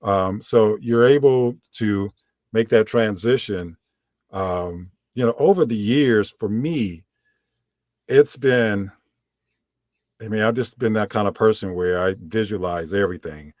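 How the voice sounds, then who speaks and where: A man with a deep voice, an audiobook narrator and coach, answers interview questions in a relaxed, rambling way with many fillers. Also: measured pace, no room sound, studio quality.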